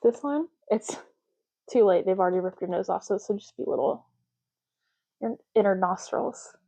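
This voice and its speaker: A woman doing a soft-spoken ASMR roleplay as a clerk, explaining options in a casual, chatty way. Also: medium pitch, normal speed, rough recording. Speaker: ASMR creator playing a clerk making ID cards.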